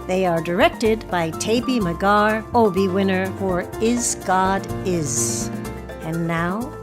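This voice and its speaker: A woman's voice: mid-range voice, takes it slowly. A woman hosting a radio theatre programme, reading a scripted introduction. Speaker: host. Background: music.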